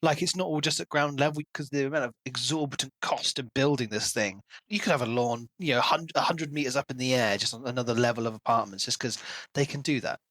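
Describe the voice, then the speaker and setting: A man's voice: medium pitch, quick pace, fairly bright tone. Male podcast co-host, mid-range voice, conversational and analytical, close-miked with no room echo. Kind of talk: casual book review discussion.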